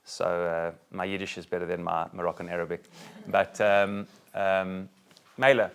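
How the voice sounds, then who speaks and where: A middle-aged South African-born rabbi giving a talk to a group and taking questions, joking and animated, with many restarts. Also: male voice, deep voice, normal speed, clear, resonant tone. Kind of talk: talk with audience Q&A. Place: room with a live audience.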